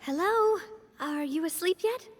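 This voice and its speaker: A woman's voice: very high voice, animated, a little slow, slight background noise. A woman playing a confident, friendly young female leader in a video game, speaking in a breezy, teasing, self-assured way. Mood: upbeat. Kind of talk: video game character acting.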